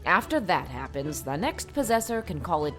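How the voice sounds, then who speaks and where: A woman playing a proud, brisk old spinster lady in a dramatized audiobook, speaking in an emphatic, decisive way. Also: fairly low pitch, very animated, faint music behind. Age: older. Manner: emphatic, decisive, plain-spoken.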